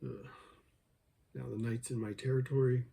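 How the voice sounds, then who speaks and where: A man talks through his own chess game with a voice worn hoarse over the last few days, thickly husky and rough with gravel. He mutters his thoughts about each move and jokes with himself. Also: mid-range voice, little pitch movement, moderate pace, warm tone. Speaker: chess video creator. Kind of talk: think-aloud commentary over an online chess game.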